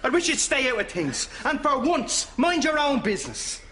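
A man's voice: very high-pitched, very animated, moderate pace. A man playing an exasperated, insistent grown son in his thirties in a sitcom. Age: 30s. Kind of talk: sitcom acting. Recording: noisy studio recording.

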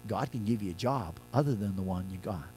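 An older man, a pastor, preaching a conversational sermon from a church pulpit, moving between loud emphatic lines and quiet soft ones. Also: fairly low pitch, fairly quick, soft background music.

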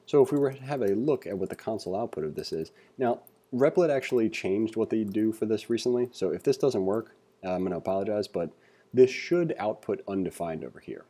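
A man's voice: lowish voice, warm tone. A man giving an informal read-along programming tutorial, reading text aloud and adding casual, self-deprecating asides.

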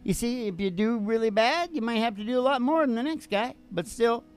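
A man's voice: extremely high pitch, expressive, fairly quick, smooth. Folksy middle-aged man over guitar music. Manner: rambling, folksy, asks leading questions. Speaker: host and country musician. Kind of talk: casual motivational chat. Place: small curtained stage. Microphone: stand microphone.

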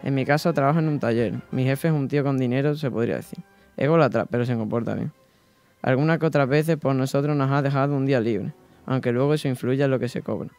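A man's voice: medium pitch, quick pace, a little mellow, faint music behind, natural voice. A teenage boy from Spain playing a humble, weary craftsman of the 1790s, reading a first-person letter aloud in a small school radio studio. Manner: plain reading-aloud delivery with small slips. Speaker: student reader on school radio. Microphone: desk microphone, headphones.

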